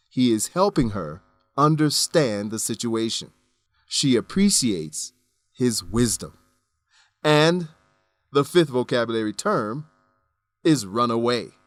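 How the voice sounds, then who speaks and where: A man hosting an English lesson, reading scripted explanations with careful, deliberate enunciation. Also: medium pitch, a bit bright, no echo. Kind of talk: scripted educational narration.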